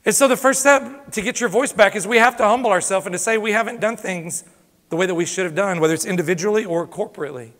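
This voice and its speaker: A pastor preaching a fervent sermon, swinging from loud exhortation to hushed, confessional pleading. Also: male voice, high-pitched, quick pace. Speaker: lead pastor. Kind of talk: impassioned sermon. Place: church sanctuary. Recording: long hall reverb from a church sanctuary.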